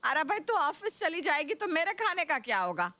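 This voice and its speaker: A woman voicing an older, food-loving Indian mother-in-law who coaxes and exclaims with drawn-out words in a cartoon story. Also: sits high, big pitch swings, sharp tone, dry recording, voice pushed up, full character voice. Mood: pleading. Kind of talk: Hindi cartoon story voice acting.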